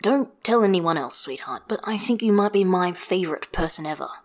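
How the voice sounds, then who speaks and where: A woman reading the part of a gentle adult man who patiently calms his small daughter in a storybook reading. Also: on the low side, a little fast, natural voice. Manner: soft, reassuring. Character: gentle adult male wizard, patient father.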